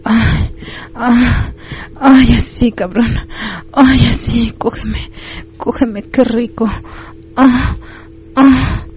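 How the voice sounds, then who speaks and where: A woman's voice: a little high, fairly even intonation, drawn-out words, long pauses, a little mellow, soft background music, pitched above the performer's own voice. A woman voicing an uninhibited, demanding married woman in an adult audio story, urgent and full of moaning exclamations. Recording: slightly dull, lo-fi recording.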